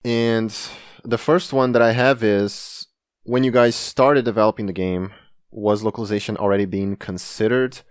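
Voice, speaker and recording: A man's voice: lowish voice, fairly even intonation, normal speed, clean tone, dry recording, clean studio sound. A man hosting a podcast, a game translator whose first language is Brazilian Portuguese, chatting in a friendly, enthusiastic way. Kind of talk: casual podcast interview.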